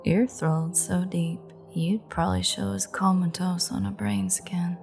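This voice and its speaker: A woman playing a menacing, amused female vampire in an audio roleplay, taunting and sultry with breathy, half-whispered asides. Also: fairly low pitch, very animated, unhurried, a little mellow, soft background music, full character voice. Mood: mocking. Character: menacing, amused female vampire gloating over a captured hunter.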